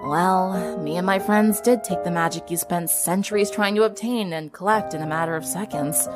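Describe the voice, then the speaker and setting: A woman plays an earnest teenage girl in a dramatic fan-fiction reading. She is compassionate and patient, with a sheepish streak that shows she embarrasses easily. Clear music runs under the voice. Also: lowish voice, normal speed, close to an everyday voice.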